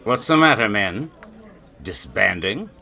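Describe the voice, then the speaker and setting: Man playing a deep, cold commanding major. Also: very animated, slow, gravelly, a crowd in the background, full character voice. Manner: level, clipped, authoritative. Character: cold, hard, commanding major leading a posse. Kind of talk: old-time western radio drama acting.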